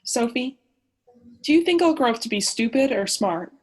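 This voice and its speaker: A woman's voice: fairly high pitch, lively intonation, normal speed, husky. A woman playing an anxious, self-doubting, depressed male character in a radio play. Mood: sleepy, unsure. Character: depressed, self-loathing male oceanographer, also as a boy.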